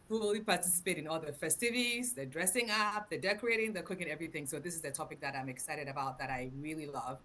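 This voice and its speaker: A woman in her forties from Ghana, a guest presenter speaking over a video call, lively and laughing often between fillers. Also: sits low, a little hiss or hum, poor audio.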